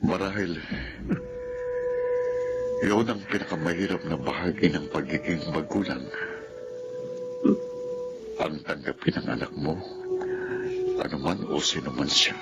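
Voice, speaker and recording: Very deep-voiced, weary Filipino father. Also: gravelly, heavily acted. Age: older. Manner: measured, earnest, heartfelt. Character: grave, loving older Filipino father, forgiving and weary. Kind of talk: radio drama acting.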